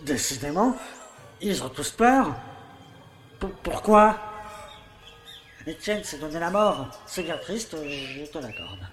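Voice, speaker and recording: A man's voice: fairly high pitch, big pitch swings, slow, long pauses, a bit bright, faint music behind, full character voice. Man playing a pompous village mayor, echoey room. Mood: defensive. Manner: pompous, paternal, self-satisfied. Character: smug, falsely kindly older village mayor. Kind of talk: theatrical monologue in an audio play. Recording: echoey room, faint background noise.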